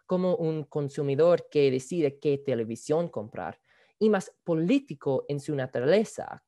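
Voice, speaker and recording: A student presenting research at an online academic conference, reading a prepared talk with frequent "ah" fillers. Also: male voice, a little high, mellow. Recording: video call audio.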